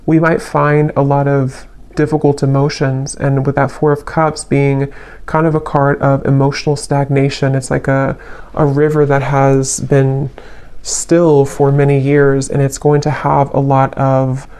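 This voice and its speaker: A woman giving an unscripted tarot reading in a reflective, halting way, letting her phrases trail. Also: extremely low pitch, little pitch movement, dark tone, clean tone. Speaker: tarot reader, channel host.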